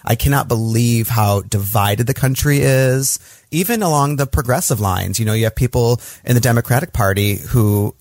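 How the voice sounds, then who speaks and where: An American man from Michigan talks as a podcast guest in casual conversation, frank and emotional, swearing freely. His pitch sits in the middle for a man. The recording is dry, with almost no room sound. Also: normal speed, a little mellow, dry recording. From Michigan, USA.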